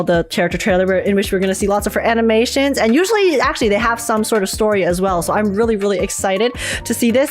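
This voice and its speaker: A woman hosting a casual gaming livestream, chatting excitably, teasing herself and repeating phrases for emphasis. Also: mid-range voice. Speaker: livestream host and gaming reactor. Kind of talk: casual livestream chat and trailer reaction.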